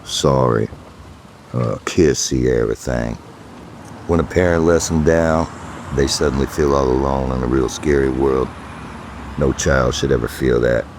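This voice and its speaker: An actor playing a weary, gruff police detective in a horror audio drama, with a wry, guarded, commanding manner. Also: male voice, extremely low pitch, fairly even intonation, unhurried, noisy background. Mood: subdued, pained.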